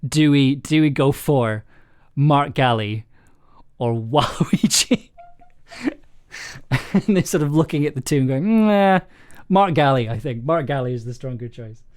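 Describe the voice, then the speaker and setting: A man hosting a casual livestream chat, talking in a halting, self-interrupting way and prone to chuckling mid-sentence. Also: mid-range voice, a little mellow. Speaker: streaming host and audio drama maker.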